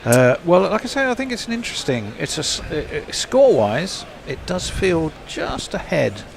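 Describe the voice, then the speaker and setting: A man's voice: a little high, normal speed, gravelly. Southern english guy hosting cricket talk, voice bounces up and down, bit of outdoor noise. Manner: lively, chuckling, reads out listener messages. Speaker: cricket commentary host. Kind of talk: casual commentary chat, reading listener messages.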